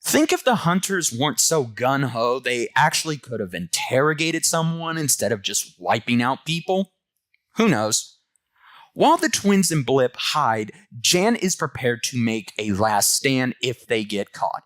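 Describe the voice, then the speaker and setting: Lively male comic reviewer, dry close sound. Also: mid-range voice, unhurried, no room sound. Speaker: comic book reviewer and host. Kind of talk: semi-scripted video review commentary.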